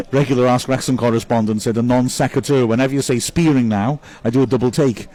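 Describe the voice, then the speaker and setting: A man's voice: mid-range voice, brisk, dark tone. A man giving live club commentary on a football match, reading out viewer messages and chatting upbeat about each player.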